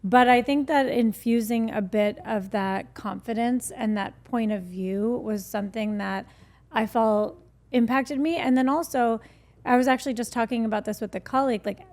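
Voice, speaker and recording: A woman speaks as a guest in a relaxed interview, talking candidly and loosely with many fillers. Her voice sits a little high. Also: normal speed. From United States. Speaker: guest, skincare founder. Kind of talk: casual interview chat.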